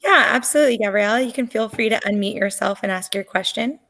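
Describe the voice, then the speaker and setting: Teacher on the call, brisk, fairly high. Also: female voice, a bit bright.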